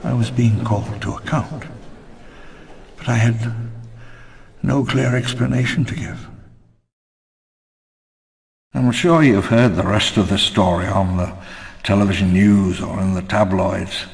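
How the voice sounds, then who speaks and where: An actor playing a wistful elderly park founder, narrating his memoirs in a measured, theatrical, ruminative way for a game audio log. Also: fairly low pitch. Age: older. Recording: long reverb under clear music.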